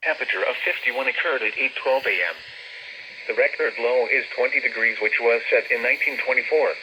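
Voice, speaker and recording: A synthetic male voice reading a formal, impersonal weather forecast through a weather radio speaker. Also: medium pitch. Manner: measured, impersonal bulletin reading. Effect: played through a weather radio speaker. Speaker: automated weather radio announcer. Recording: noisy small weather radio speaker, room noise.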